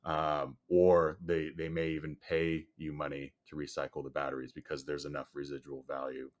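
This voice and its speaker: A man whose first language is Chinese, answering podcast interview questions in a measured way with frequent "you know" fillers. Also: rumbling low, monotone. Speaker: guest, storage company executive.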